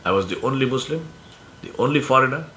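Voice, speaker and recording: A man in his sixties from India with an Indian accent, Urdu his first language, giving a measured, emphatic reflective monologue. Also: mid-range voice, animated, pushed and bright, a little hiss or hum. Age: 60s. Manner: measured, emphatic, uses metaphors. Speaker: speaker sharing life lessons.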